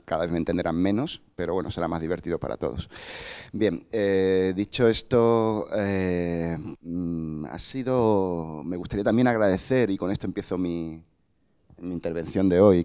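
A man's voice: low-pitched, dark tone. A man in his forties from Cádiz with an Andalusian accent, giving a chatty, digressive conference lecture on a handheld mic in a lecture hall. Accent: Andalusian Spanish (Cádiz). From Cádiz, Spain. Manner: chatty, digressive, many fillers and asides. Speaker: invited speaker.

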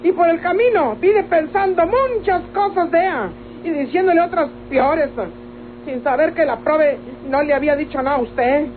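A woman's voice: high voice, moderate pace, lightly acted. A woman playing a naive, indignant young village woman in a Mexican radio soap opera, with a Mexican accent and plaintive, rustic speech. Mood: aggrieved. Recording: tinny old radio recording, faint noise.

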